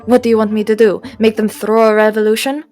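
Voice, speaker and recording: A woman's voice: on the high side, flat delivery, fast talker, dark tone, faint music behind, natural voice. A woman voicing a sassy, spiteful and insecure male god of wishes in a fantasy audio roleplay, theatrical, indignant and whiny. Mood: incredulous, flustered.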